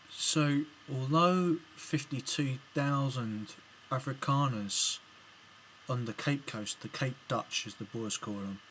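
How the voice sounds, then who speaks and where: A man's voice: medium pitch, fairly bright tone, slight background noise, low-quality recording. A man with an English accent giving a spoken video reply, hesitant and full of ums and restarts. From England. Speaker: video blogger replying to another video. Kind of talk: casual spoken commentary to camera.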